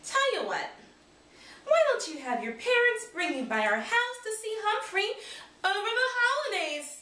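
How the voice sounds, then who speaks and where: A woman's voice: very high voice, very animated, moderate pace, pushed and bright, pitched above the performer's own voice, exaggerated voice. A woman voicing a kindly, upbeat female schoolteacher with an encouraging manner in a children's storybook. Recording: roomy, distant camera microphone.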